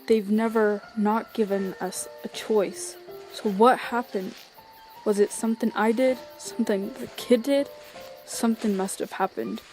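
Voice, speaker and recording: A woman's voice: medium pitch, fairly even intonation, a little slow, darkish tone, faint music behind, natural voice, rough recording. Woman voicing a wry, world-weary skeleton monster. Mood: pensive. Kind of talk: animated comic dub acting.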